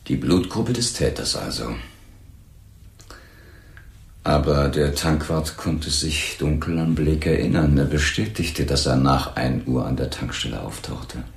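A man acting in a radio drama as a grave police lieutenant who questions suspects in a deliberate, insistent way. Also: rumbling low, creaky, echoey room, exaggerated voice. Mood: probing, insistent.

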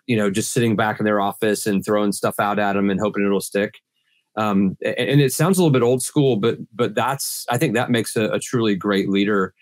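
A man's voice: fairly low pitch, no room sound. A middle-aged man with a slightly scratchy voice, answering earnestly in a video-call podcast interview with many restarts. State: scratchy voice from pollen. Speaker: guest sales trainer.

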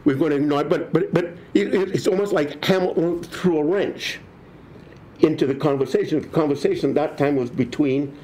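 An older man, a professor guest, explaining history in a halting, restarting way full of false starts. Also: a little high. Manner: halting, restarts often, animated. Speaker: political science professor guest. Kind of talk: conversational history discussion.

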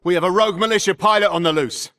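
An actor playing an urgent military grunt calling for backup in a video game. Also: male voice, sits high, rapid-fire, sharp tone. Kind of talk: video game combat barks.

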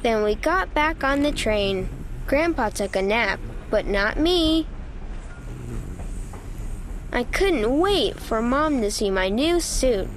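A woman narrating a children's storybook in an animated, childlike way, as an eager young critter telling the story. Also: on the high side, slow, pauses often, slight background noise. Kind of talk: children's read-aloud narration.

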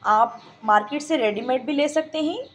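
A woman, hosting a home cooking tutorial and calmly giving the viewer step-by-step instructions. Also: high voice, moderate pace, a little hiss or hum. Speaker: cooking channel host. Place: home kitchen.

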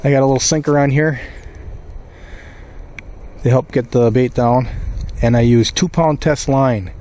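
A middle-aged man giving casual fishing tips outdoors on a frozen lake, murmuring excitedly as he reels fish in. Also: medium pitch, unhurried, a little hiss or hum. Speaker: fishing video host. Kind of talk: casual instructional narration. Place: outdoors on a frozen lake and inside an ice shanty.

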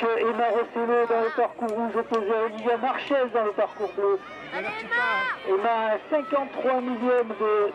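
A man announcing a ski race live over a loudspeaker, naming racers, lanes and time gaps, with fillers. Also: very high voice, lo-fi sound. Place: outdoor ski slope. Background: spectators on the slope.

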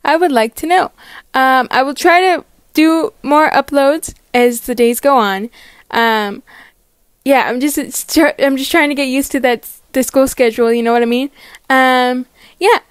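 High, clear-voiced young woman. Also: unhurried, no echo. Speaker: story channel creator voicing a character. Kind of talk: voiced roleplay vlog, casual chat.

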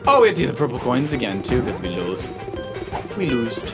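Excitable kid gamer, wildly varying pitch. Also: a little fast. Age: child. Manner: exclamatory, repeats words and phrases. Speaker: Let's Play host. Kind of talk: excitable gameplay commentary. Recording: loud game music behind the voice. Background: video game music.

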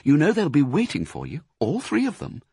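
A man's voice: a little high, wildly swooping pitch, brisk, darkish tone, slightly rough, no room sound, clean studio sound, voice pushed up, full character voice. A man voicing a caring, anxious mother fox in a storybook reading. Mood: worried. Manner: fretful, warning.

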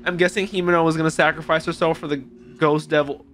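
A young man reacting out loud to an anime episode, exclaiming and swearing casually into a boom mic in a home streaming room. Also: level tone, quick pace. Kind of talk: excited reaction commentary. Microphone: boom mic with headphones. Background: anime soundtrack playing.

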